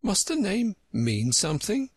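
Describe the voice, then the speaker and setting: A man voicing a polite, curious young girl from a storybook, gentle and earnest. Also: fairly high pitch, wildly swooping pitch, measured pace, vocal fry, husky, no room sound, clean studio sound, voice pushed up, exaggerated voice. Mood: curious, anxious. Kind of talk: storybook character reading.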